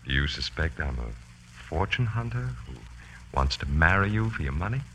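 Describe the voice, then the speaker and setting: An actor playing a suave, deliberate killer who courts women, in an old-time radio drama style. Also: male voice, extremely low pitch, very animated, fairly bright tone, heavily acted. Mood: suave, seductive. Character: smooth, courting lady killer, a menacing strangler. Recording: noisy old radio recording with hum.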